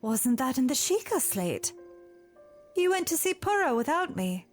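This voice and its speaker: An actress plays a proud young princess in an audio drama. Her highish voice moves up and down a lot at an unhurried pace, whiny and pouting, quick to show annoyance. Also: sing-song, faint music behind. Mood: accusing. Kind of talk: audio drama character dialogue.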